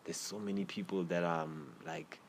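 A young man with a South African accent and a very deep, low voice talks loosely and casually as a podcast host, trailing off and circling back as he goes. A faint hiss of noise sits under the recording. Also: normal speed, vocal fry. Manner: relaxed, rambling, slang-heavy. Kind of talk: casual interview chat.

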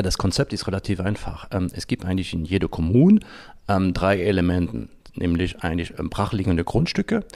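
A man's voice: deep voice, gravelly, a little mellow. A man giving unscripted interview answers in speech, often hesitating as he searches for words. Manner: halting, searching for words with frequent long hesitations. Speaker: interviewee, founder of an environmental association.

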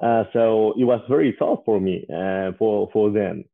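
A man in his forties from Spain, an English speaker who pauses often with "uh" as an interview guest. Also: lowish voice, creaky. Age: 40s. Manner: hesitant, frequent "uh" fillers. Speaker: guest, investor. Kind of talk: casual interview. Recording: tinny phone-like line.

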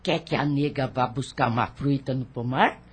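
An actress playing a devoted, fussing housemaid with rustic, servile speech in a radio soap. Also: female voice, extremely low pitch, moderate pace, over music. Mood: solicitous.

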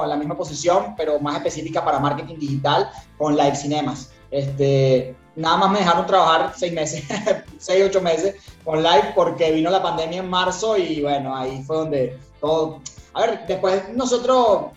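A Venezuelan man with a Venezuelan Spanish accent answers interview questions as a business founder, speaking slightly high and animated. The recording sounds roomy and a bit muffled. Faint music plays underneath. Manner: animated, explanatory, frequent fillers. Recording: roomy, dull audio with music.

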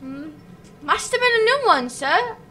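A woman acts out a young working-class page boy for radio drama, with an extremely high, animated voice. The boy is respectful and eager, hesitating politely, over faint noise. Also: measured pace, full character voice. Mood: anxious, hesitant. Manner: deferential, hesitant.

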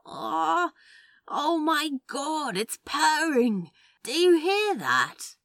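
A woman playing an anxious, soft-hearted man in an audio story, earnest and hesitant. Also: high-pitched, big pitch swings, extremely slow, dry recording, studio quality, full character voice. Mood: awed, delighted. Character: anxious, soft-hearted man excited over a stray kitten. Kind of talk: audio drama acting in fan fiction.

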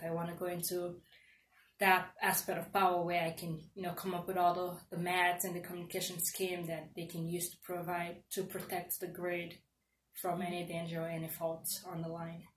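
Low, flat-voiced young woman from Nigeria. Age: young adult. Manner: loose and chatty, with fillers and restarts. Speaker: interview guest, engineering student. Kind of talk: casual interview answers. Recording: roomy echo, faint noise.

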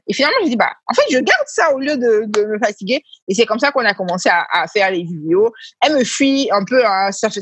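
A woman answering interview questions in a husky, breathy voice. She talks through her thoughts haltingly and repeats words as she searches for them. The sound is close and dry, with little room around it. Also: fairly high pitch, no echo. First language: Senoufo heritage, speaks it only at a basic level. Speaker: guest, children's reading workshop organizer.

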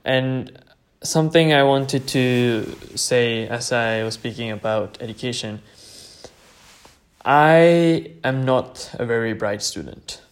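A man's voice: mid-range voice, slow. A man in his late teens from Norway, tired late at night, rambling hesitantly as he tells his own story on a solo podcast. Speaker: podcast host.

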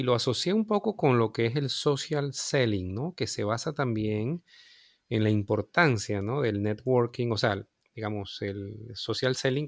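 Male interviewer, low voice, dry close sound, friendly and halting. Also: no echo. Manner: friendly, complimentary, hesitant fillers. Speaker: host. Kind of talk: casual interview talk.

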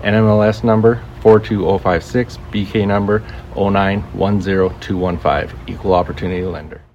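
A man's voice: deep voice, fairly even intonation, moderate pace, a little mellow, dull audio, a little hiss or hum. An American man, a podcast host, delivering a scripted promotional message addressed straight to listeners. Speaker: podcast host, coach, loan originator.

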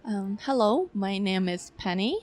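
Thai woman in her 20s, talks in halting bits w lots of ums, laughs easy. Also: mid-range voice, expressive, darkish tone. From Thailand. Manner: halting, many fillers, laughs often. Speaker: film director guest. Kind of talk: casual interview.

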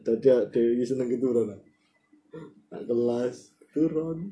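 A man with an East Javanese accent hosts a loose, casual podcast chat. His voice is mellow and sits at an ordinary male pitch. He is playful, likes to repeat phrases and drops in plenty of slang. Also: normal speed, lo-fi sound. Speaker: podcast host.

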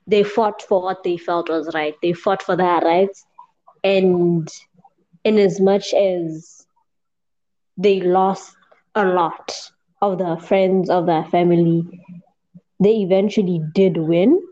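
Low, mellow woman hosting a podcast. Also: fairly even intonation. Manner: unhurried, lots of soft "mm" backchannels. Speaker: podcast host. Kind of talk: casual podcast chat. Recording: dull lo-fi podcast audio.

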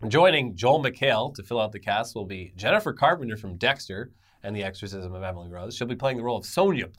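Animated man bantering through entertainment news. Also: fairly low pitch, fast talker. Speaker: co-host of an entertainment news show. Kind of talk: conversational news commentary with banter.

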